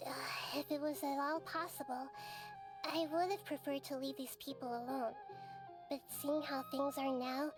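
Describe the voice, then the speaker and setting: Actress as a soft-spoken, wise young goddess in a game, high voice. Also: female voice, steady tone, lightly acted, poor audio. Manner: soft, measured, thoughtful. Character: small wise girl-like goddess, calm and gentle. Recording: game audio with background music.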